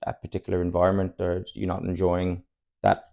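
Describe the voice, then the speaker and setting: A young Irish man in his twenties, a native Irish speaker, talks at a rapid clip in a low, gravelly voice. He rambles candidly through his answers and chuckles often as a podcast guest. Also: completely flat delivery, warm tone, highs cut off. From Ireland, Cork. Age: 20s. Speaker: footballer guest. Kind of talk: casual podcast chat.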